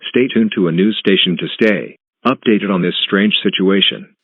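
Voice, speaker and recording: Low tinny male emergency announcer. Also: moderate pace, background noise. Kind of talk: scripted emergency broadcast announcement. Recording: tinny phone-like band, echoing.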